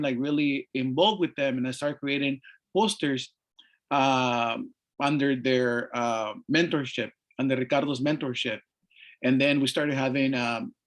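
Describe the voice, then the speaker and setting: A middle-aged man from Mexico, speaking on an art panel and telling his story in a halting way, with many fillers and restarts. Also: a little high, fairly bright tone. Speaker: panelist, artist. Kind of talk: panel discussion, personal story.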